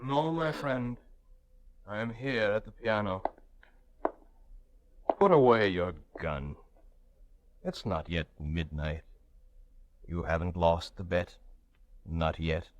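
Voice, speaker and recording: A man's voice: low-pitched, a little hiss or hum. A male actor playing a proud, world-weary imprisoned intellectual, declaiming poetically in old time radio drama style. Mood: calm, quietly menacing. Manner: slow, deliberate, poetic declamation.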